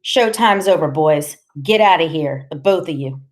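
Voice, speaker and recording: Menacing tough guy, played by a female narrator, gruff, bossy, curt streetwise slang, forceful commands, audiobook character. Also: deep voice, lively intonation, normal speed. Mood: scornful, commanding.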